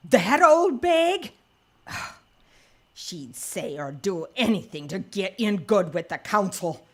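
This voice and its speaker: A woman playing a weary, sardonic old woman in an audio drama, with a deliberate, dry and scornful manner. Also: medium pitch, big pitch swings. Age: older.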